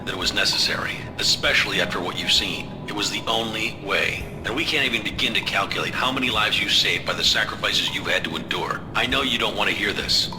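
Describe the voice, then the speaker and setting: A man playing an unapologetic spy agency chief in an audio drama, measured, controlled and authoritative. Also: lowish voice, music in the background, natural voice. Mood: unrepentant.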